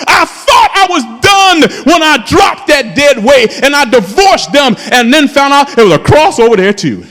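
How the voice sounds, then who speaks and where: A man's voice: extremely high pitch, very animated, moderate pace, a bit bright. A man in his fifties preaching a sermon as pastor, calling out to his congregation with fervor. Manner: impassioned preaching, calls out to the congregation. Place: church sanctuary. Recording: long hall reverb in a church.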